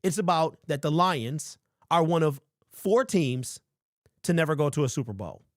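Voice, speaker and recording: A man in his forties hosting a casual sports talk show, reading viewer comments and rambling with lively emphasis. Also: a little high, moderate pace, no room sound, studio quality. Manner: animated, rambling, calls listeners bro. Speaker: sports podcast host.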